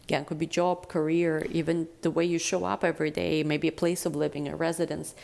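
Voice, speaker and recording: Deep-voiced lady doing a chill tarot reading, kinda gravelly, lots of "like" and "you know". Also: flat delivery, fairly quick, a little mellow. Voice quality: deep chesty voice. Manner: rambling, reflective, many fillers. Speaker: tarot reader. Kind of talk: casual spoken tarot reading.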